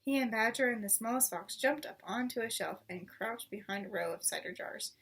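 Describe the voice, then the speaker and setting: A woman narrates a children's story in a high-pitched voice. Her reading is expressive, and she sounds set back a little from the microphone. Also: a little fast, husky, recorded from a distance. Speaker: storybook reader.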